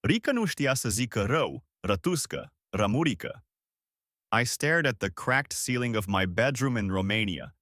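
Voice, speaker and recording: Man reading an essay with lively pitch. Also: no room sound, clean studio sound. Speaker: essay reader. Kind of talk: reading a personal essay aloud.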